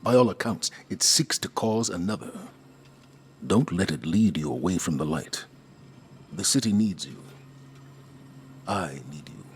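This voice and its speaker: An actor playing a solemn, worried military mentor in a video game, speaking in a grave, measured, earnest way. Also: male voice, deep voice, slight background noise, heavily acted. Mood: earnest warning.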